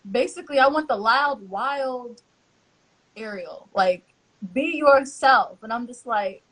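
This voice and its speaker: A woman hosting a casual live-stream chat, telling animated stories and acting out quotes. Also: fairly high pitch, slow, poor audio. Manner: lively storyteller who acts out quotes. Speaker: host, church social media helper. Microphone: live-stream call.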